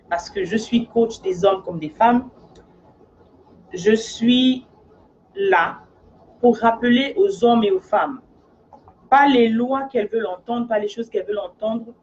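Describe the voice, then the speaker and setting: A woman's voice: medium pitch, a little mellow, highs cut off, a little hiss or hum. A woman from Africa who works as a life coach, talking in an earnest, casual monologue and often checking in with her listener.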